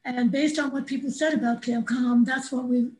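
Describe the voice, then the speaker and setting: An older woman with a gravelly voice, singing a folk song to her own guitar on a video call from home. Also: a little high, fairly even intonation, normal speed, a little mellow, reverberant, poor audio. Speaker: singer-songwriter. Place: home room, indoors.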